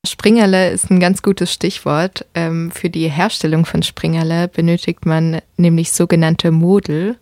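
Flat-voiced woman with a slight Baden accent. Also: fairly low pitch, unhurried, hardly any pauses, no room sound, clean studio sound. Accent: slight Baden German. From Karlsruhe, Baden. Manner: hesitant, fills with ähm. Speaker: podcast co-host. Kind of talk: casual podcast chat.

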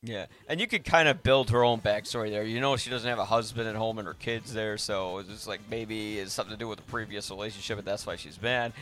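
A man hosting a podcast, talking casually about film in an animated, halting way that often repeats words. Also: lowish voice. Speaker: podcast host.

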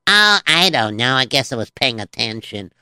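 A man doing a goofy, bright-voiced sidekick. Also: mid-range voice, very animated, moderate pace, smooth, dry recording. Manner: scatterbrained, stammering, giggly. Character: goofy interrupting male sidekick who mishears words. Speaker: comic sidekick. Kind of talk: comedic children's story show.

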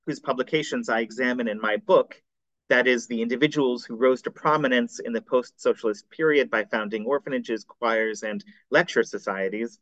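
A man, a scholar reading a prepared seminar paper aloud in a measured, formal way. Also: medium pitch, a bit bright, no room sound. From United States. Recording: dull, dry recording.

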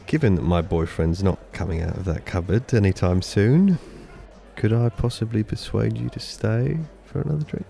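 Nervous irish guy on a date, lowish voice with lots of fry, awkward self-mocking jokes. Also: moderate pace, dark tone. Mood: awkward joking. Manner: hesitant, joking. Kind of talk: audio drama acting, naturalistic dialogue.

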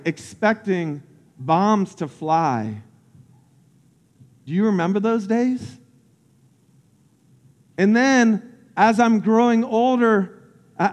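A man's voice: sits high, long reverb tail. A man from Central Florida, a pastor giving an earnest, personal sermon that builds in intensity.

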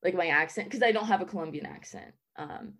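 A woman's voice: on the low side, a little fast, a bit bright. A young woman from the American South answering interview questions in a casual, chatty way, full of "like" and "um". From Southern United States. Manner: chatty, lots of "like" and "um" fillers.

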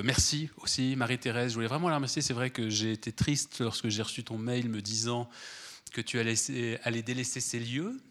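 A man who has a cold, a guest author talking in a rambling, animated way, with many restarts, before an audience at an event. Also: mid-range voice. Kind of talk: relaxed conversational talk at a public event.

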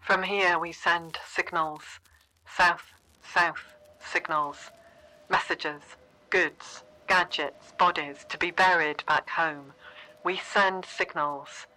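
An actress playing a solemn woman reciting deliberate, list-like lines in a radio play. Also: on the low side, steady tone, bright, forceful tone, poor audio. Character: solemn woman sending signals from the migration corridor.

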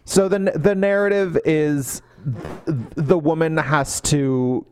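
A man's voice: fairly high pitch, steady tone, slow, darkish tone, a little hiss or hum. A man co-hosting a podcast, giving a casual comedic book recap, sarcastic and mockingly acting out the characters' lines. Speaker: podcast co-host.

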